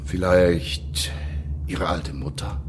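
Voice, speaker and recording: An actor in a German radio play playing a cynical, coolly menacing Soviet chief prosecutor, with theatrical, drawn-out emphasis that can sink toward a whisper. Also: male voice, low voice, steady tone, noisy background. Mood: cold threat.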